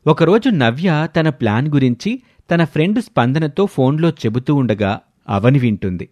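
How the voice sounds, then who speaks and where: A man's voice: fairly high pitch, lively intonation, normal speed, a little mellow, no echo. A man narrating a scripted family story as a storyteller.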